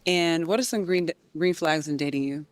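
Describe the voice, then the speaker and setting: A woman hosting a dating show, briskly repeating set prompts to the contestants. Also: on the low side, no echo.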